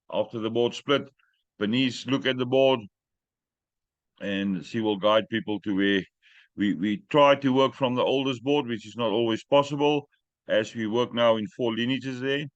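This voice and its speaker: A man hosting a group call in English, which is not his first language, talking in a direct, plain-spoken and sometimes halting way. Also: medium pitch, no echo. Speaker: host. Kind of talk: casual group call chat. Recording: dry muffled call audio.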